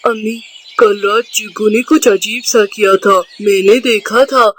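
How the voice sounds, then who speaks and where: A woman's voice: mid-range voice, steady tone, unhurried, a bit bright, slight background noise, pitched below the performer's own voice, close to an everyday voice. A woman voicing a remorseful young boy bird in a cartoon, earnest and confessional, anxious to be believed.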